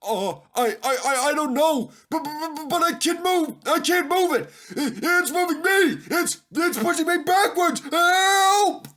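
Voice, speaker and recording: A man voicing a timid, fretful male steam engine in a children's storybook, in a high, strained, wailing voice. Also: animated, a little slow, few pauses, bright, forceful tone, heavily acted. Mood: panicked. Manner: stammering, wailing when frightened.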